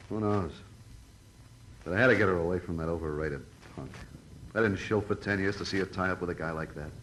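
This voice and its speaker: A man's voice: sits low, brisk, a bit bright. An actor playing a bitter, defensive, stubbornly protective tough guy in an old-time radio noir, using street talk. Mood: bitter, resigned. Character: defensive, bitter, protective older brother confessing to murder. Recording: noisy old radio drama recording.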